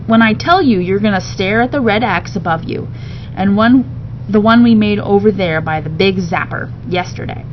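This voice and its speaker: Storybook male med tech read by a woman, instructive and joking, deadpan delivery; slightly noisy, lo-fi sound. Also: medium pitch, normal speed, close to an everyday voice. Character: joking, brisk male radiation technician giving instructions. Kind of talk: children's novel read aloud.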